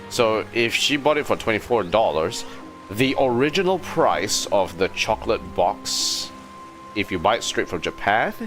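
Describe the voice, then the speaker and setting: A man chatting casually as a video game streamer, telling things in an animated, exasperated way. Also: on the low side. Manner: animated storytelling with exasperated asides. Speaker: livestreamer playing a video game. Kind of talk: casual rambling livestream chat. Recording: music behind the voice. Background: game music.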